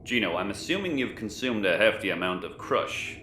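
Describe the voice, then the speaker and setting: Exasperated formal spaceship officer, lowish voice, dry delivery, echoey with noise. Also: male voice, animated, normal speed, sharp tone. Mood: matter-of-fact. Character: dry, weary male crewmate, formal and exasperated. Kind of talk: sci-fi audio comedy acting. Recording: roomy echo, clear background noise.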